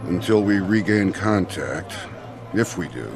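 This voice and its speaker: An actor playing a matter-of-fact mission control officer in a radio drama, brisk and blunt. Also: male voice, expressive, vocal fry, heavily acted. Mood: grim, blunt. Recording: music and background rumble.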